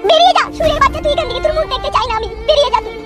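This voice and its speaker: A woman voicing a young village woman in a cartoon, squeaky and high. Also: racing. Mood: furious. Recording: loud music behind the voice.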